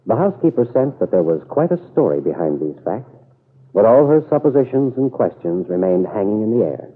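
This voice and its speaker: A tinny old radio recording carries a low male announcer narrating a serial. His formal storytelling has a husky grain and plenty of rise and fall. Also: big pitch swings, a little fast.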